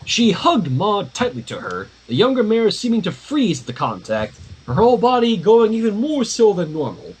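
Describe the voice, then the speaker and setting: A man reading a story aloud on a livestream with expressive, dramatic narration and casual asides, in a husky voice. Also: sits high, normal speed, low-quality recording. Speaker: fan fiction reader.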